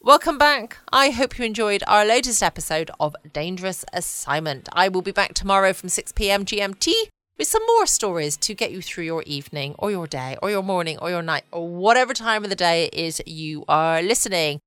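Smooth southern English woman hosting. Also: lowish voice, lively intonation, a little fast, a bit bright, no echo. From United Kingdom. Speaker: podcast host. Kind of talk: bedtime podcast sign-off. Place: home, dry close recording.

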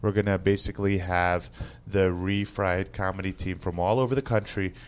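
A male comedian on a podcast, chatting casually in a lively, emphatic way and laughing often. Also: deep voice, moderate pace, a little hiss or hum.

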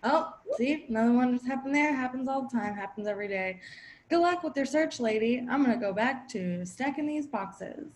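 A woman's voice: fairly high pitch, animated, fairly quick, a little mellow, lightly acted. Woman as a curt, busy dock worker. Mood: curt, matter-of-fact. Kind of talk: improvised radio-play parody.

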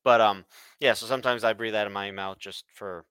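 Male co-host, bright, crisp, congested, laid-back, dry close mic. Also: lowish voice, fairly even intonation, a little fast, no echo. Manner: laid-back, brief interjections and corrections. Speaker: podcast co-host. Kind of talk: casual chat.

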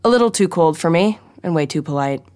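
Woman playing a wry, low-voiced female spy. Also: expressive, a little mellow, no room sound, lightly acted. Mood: wry, playful. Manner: brisk, wry, holding back amusement. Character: wry, eager young female spy on assignment.

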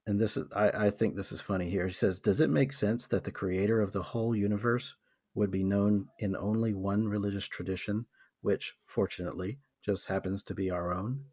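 A man from the American South with a low, mellow voice talks through a book in a casual podcast chat. He reads passages aloud, then reflects on them slowly, with plenty of "um"s and restarts. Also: fairly even intonation, husky. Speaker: podcast co-host.

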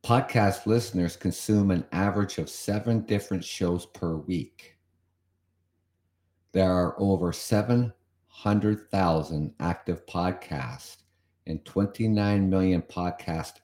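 Slow, deep-voiced Canadian podcast host. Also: male voice, a little mellow, reverberant. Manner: chatty, repeats himself, comments on what he reads. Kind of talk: solo podcast talk reading an article.

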